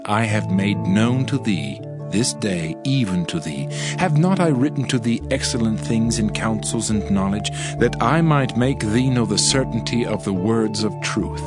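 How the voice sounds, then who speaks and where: A man narrating a dramatized audio Bible in a solemn, formal, instructive way. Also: on the low side. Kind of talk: dramatized scripture reading. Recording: loud background music under the voice. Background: background music.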